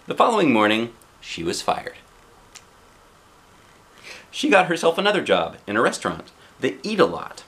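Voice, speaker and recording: A man reading a picture book aloud as a dad would, playful and expressive, with casual asides to a child. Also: medium pitch, normal speed, long pauses.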